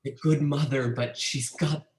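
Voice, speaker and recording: A man's voice: mid-range voice, fairly even intonation, unhurried, reverberant. A man in an audience discussion on a video call, chatting with lots of "like". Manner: chatty, heavy use of "like". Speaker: audience member. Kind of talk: casual Zoom discussion.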